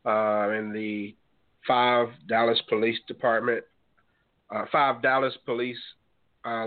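A man hosting a call-in radio talk show, speaking in a measured, earnest way with some fillers and sounding a little stuffed up from a cold. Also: mid-range voice. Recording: tinny phone-line sound.

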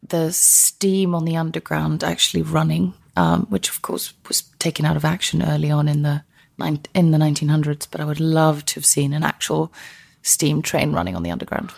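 An Icelandic woman talks conversationally as an interview guest. Her voice sits a little low, with plenty of creak. She explains things in a chatty, enthusiastic and reflective way. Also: normal speed. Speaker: interview guest, transport historian.

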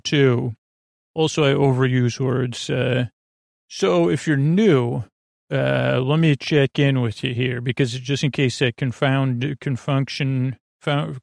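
A man with a creaky voice hosting a podcast in a rambling, halting, casual monologue that keeps trailing off and circling back. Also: medium pitch, no echo, clean studio sound. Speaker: podcast host.

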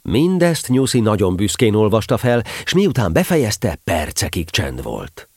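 Lively low-voiced male storybook narrator. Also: no room sound, studio quality.

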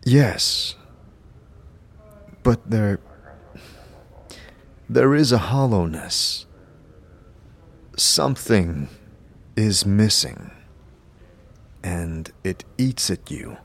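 A man playing a solemn, world-weary immortal wanderer with a deliberate, commanding way of speaking, over music in a fantasy audio drama. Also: on the low side, animated, vocal fry, exaggerated voice. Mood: haunted. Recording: music behind the voice.

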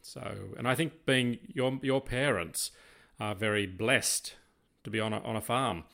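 A middle-aged Australian man chatting reflectively as a podcast co-host, telling things in a rambling, unhurried way. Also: lowish voice, creaky, fairly bright tone. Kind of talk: reflective conversational chat.

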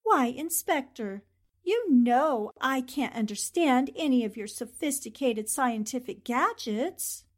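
Casting: plucky young newspaperwoman sleuth, played by a woman, higher-pitched, flippant and arch, for 1940s-style radio drama. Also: lively intonation, takes it slowly, heavily acted. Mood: sly, arch. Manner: talks to herself, flippant, arch.

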